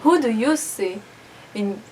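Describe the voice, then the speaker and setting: A woman's voice: on the high side, expressive. Woman from israel practicing a speech, stops and restarts a lot, mic kinda far off, room echo and some background hum. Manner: halting, with restarts and non-native grammar slips. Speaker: public speaking student. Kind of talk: rehearsing a personal speech. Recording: roomy, distant mic, background ambience.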